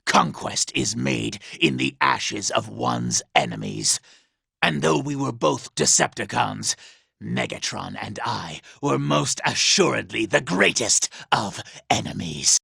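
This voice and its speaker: Vain scheming robot villain for a game, super gravelly n sharp, sneery and pompous. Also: male voice, mid-range voice, very animated, slow, no echo, clean studio sound, full character voice. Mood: smug. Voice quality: raspy, gravelly. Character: vain, scheming, treacherous robot villain, cowardly under threat. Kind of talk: video game character acting.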